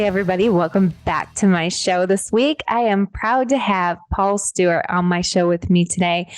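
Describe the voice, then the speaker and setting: A woman's voice: medium pitch, darkish tone, dry recording. Upbeat woman podcast host, dry sound. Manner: upbeat and chatty, with frequent ums. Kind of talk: casual interview chat.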